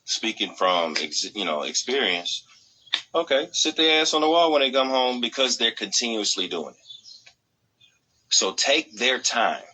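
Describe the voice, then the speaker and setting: American male co-host, forceful and raspy, blunt slang-heavy casual podcast banter. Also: medium pitch, a little slow, low-quality recording. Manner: animated agreement, blunt, repeats for emphasis.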